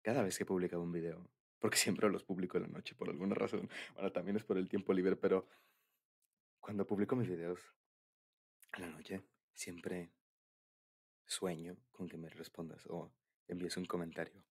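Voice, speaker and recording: A man's voice: deep voice, little pitch movement, moderate pace, gravelly, a little mellow, slightly rough. A young man with a Mexican accent in a casual, confiding boyfriend ASMR roleplay, bashful and hesitant.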